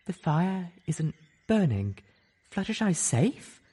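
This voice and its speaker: A man's voice: sits high, big pitch swings, slow pace, mellow, husky, voice pushed up, full character voice. A man voicing an easygoing pegasus stallion who talks in a laconic, wry way.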